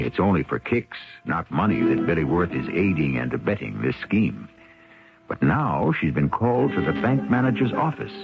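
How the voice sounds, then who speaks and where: A man hosting a radio mystery series, giving scripted dramatic narration with wry, formal phrasing. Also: low voice. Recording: dull old radio broadcast with music.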